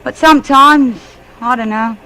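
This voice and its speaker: A woman's voice: sits high, unhurried, bright, forceful tone, full character voice, poor audio. An actress playing a fretful, deferential working-class English widowed mother in a 1940s radio drama, on an old broadcast recording. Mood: weary, resigned.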